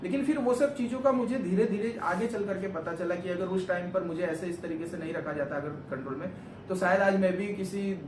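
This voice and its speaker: A young man talks through his own story in a loose, rambling, earnest way, often circling back to restate a point. His voice sits a little high. He sounds set back from the mic in an echoey room, with steady noise behind him. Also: brisk, recorded from a distance. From Gujarat, India. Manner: rambling, earnest, self-correcting. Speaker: vlogger answering questions. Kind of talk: casual interview chat.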